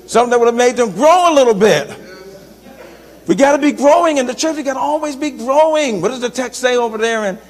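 Man preaching, high voice, lively swings from loud to soft, handheld mic, long church reverb. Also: fast. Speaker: pastor. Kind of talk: sermon. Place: large church sanctuary, indoors. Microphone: handheld microphone.

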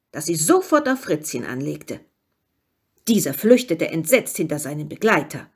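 A woman reading a fairy tale as scripted narration, expressive and with dramatic emphasis. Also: lowish voice, husky. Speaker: story reader.

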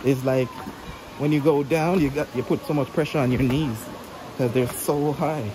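A man with a Jamaican accent, chatting casually to viewers while hiking down to a waterfall and filming on a handheld camera. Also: medium pitch. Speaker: vlogger. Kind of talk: casual travel vlog narration. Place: outdoors at a river waterfall. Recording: loud waterfall and outdoor noise. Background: rushing water, insects.

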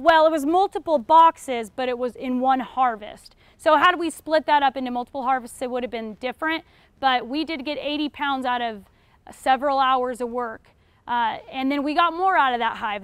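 A woman's voice: high voice, a bit bright, no room sound. A young adult woman with an American accent, explaining things informally as a class instructor answering questions. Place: retail store aisle in front of a seated audience.

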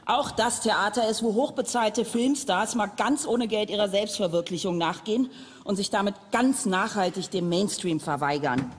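A woman's voice: medium pitch, moderate pace, husky. A woman from Germany co-hosting an award show with scripted stage banter. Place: large hall on stage. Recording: reverberant hall, faint background noise.

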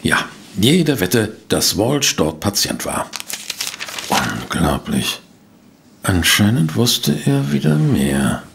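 Inquisitive gentleman detective, audio drama, gravelly, animated intonation, polite, pensive. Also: on the low side, slow pace, background noise, heavily acted. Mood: intrigued. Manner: courteous, pensive, hesitant. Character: polite, inquisitive male private detective, narrating his case. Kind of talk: German audio drama acting with first-person narration.

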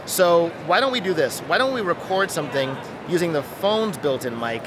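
A man's voice: on the high side, lively intonation, moderate pace, background noise. A middle-aged American man vlogging casually into a handheld phone microphone at arm's length inside a huge, busy train station hall. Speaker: product reviewer, vlogger. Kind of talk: casual off-the-cuff vlogging to camera. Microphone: handheld phone rig mic at arm's length. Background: busy station crowd ambience.

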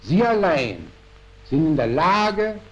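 A man's voice: fairly high pitch, sing-song, slow pace. A man reading a play as a fervent young nationalist militant, pressing and emphatic, in a historical recording. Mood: urgent. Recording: dull historical archive recording.

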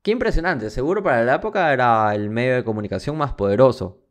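A man's voice: medium pitch, sing-song, fast talker. A man with an Ecuadorian accent co-hosting a podcast in casual chat, playful, with exclamations and questions. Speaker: podcast co-host.

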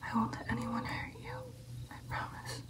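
A woman's voice: normal speed, distant mic, natural voice. Whispering vampire girlfriend, played by a young woman. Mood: caring, attentive. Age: young adult. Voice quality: breathy, unvoiced whisper. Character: protective female vampire girlfriend, calm and reassuring. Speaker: ASMR roleplay performer. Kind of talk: ASMR whispered roleplay.

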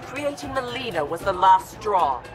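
A woman's voice: medium pitch, sing-song, moderate pace, music in the background, lightly acted. An actress playing a proud, defiant female warrior in a fighting game, stern and resolute.

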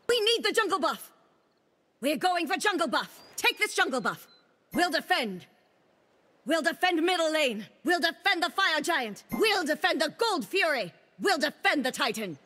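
A woman's voice: extremely high pitch, pushed and bright, full character voice. A woman voicing an imperious celestial maiden in a video game, speaking formally and with haughty command. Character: imperious cosmic maiden, celestial keeper of the stars. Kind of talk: video game character voice lines. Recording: long reverb on game voice.

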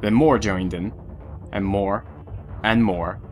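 Low-voiced male story narrator. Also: steady tone, takes it slowly, faint music behind.

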